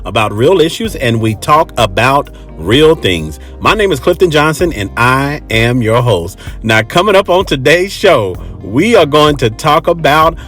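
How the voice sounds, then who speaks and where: A man's voice: medium pitch, animated, unhurried, few pauses, fairly bright tone, faint music behind. An American man hosting a podcast in a casual, chatty monologue, talking colloquially and emphatically and repeating phrases for effect. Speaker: podcast host.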